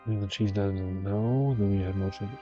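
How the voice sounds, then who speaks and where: A man's voice: lowish voice, fairly even intonation, normal speed, muffled recording, faint music behind. A man making casual, off-the-cuff remarks while playing a video game.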